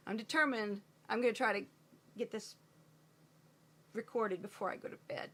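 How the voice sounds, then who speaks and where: A woman singing with a slightly hoarse voice, sometimes talking between verses with self-deprecating, laughing asides. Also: on the high side, unhurried. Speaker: amateur ukulele player singing for a friend. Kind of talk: home recording of singing with ukulele and asides. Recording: loud ukulele playing under the voice.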